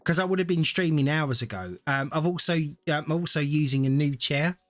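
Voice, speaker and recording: Casting: male streamer, rambling, lively voice that rises and falls, faint music behind. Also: fairly high pitch, brisk. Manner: rambling, thinks aloud, chats to viewers. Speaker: gaming streamer. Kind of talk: casual live-stream gameplay commentary.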